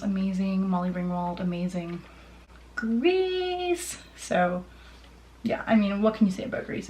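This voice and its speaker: Young girl doing a casual vlog, rambly and gushy, normal mid pitch voice. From Ontario, Canada. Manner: gushing, rambling, informal. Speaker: vlogger showing a personal collection. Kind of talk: casual chat to camera.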